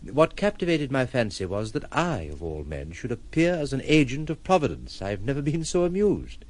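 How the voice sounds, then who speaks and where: Actor as wry gentleman recluse, animated in pitch. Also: moderate pace, no room sound, lightly acted. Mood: amused, ironic. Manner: eloquent, formal, ironic phrasing. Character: wry, detached gentleman recluse, playfully philosophical and world-weary. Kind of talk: audio drama acting.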